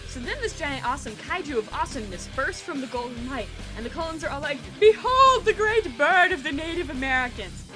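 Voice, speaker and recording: A young woman doing a theatrical, sarcastic comic dramatic reading in slangy language, recorded quietly on a homemade game microphone rig. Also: high voice, lively intonation, fairly quick, hardly any pauses, music in the background, rough recording. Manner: theatrical, sarcastic, slangy, acts out quoted dialogue. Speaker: storyteller. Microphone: video game microphone with improvised pop filter.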